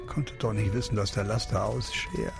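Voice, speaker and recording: An actor playing a traumatized, grieving undercover agent in a halting inner monologue for a German radio drama. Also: male voice, on the low side, steady tone, vocal fry. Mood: agitated, haunted. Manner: halting, terse fragments. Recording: music bed under the voice.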